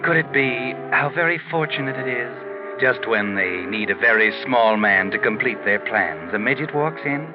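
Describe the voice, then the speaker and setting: An actor playing a sardonic, knowing narrator, posing insinuating questions in the style of a 1940s radio mystery. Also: male voice, mid-range voice, expressive, moderate pace, music in the background, close to an everyday voice. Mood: sly, ominous. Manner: sly, insinuating rhetorical questions. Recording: muffled 1940s radio recording.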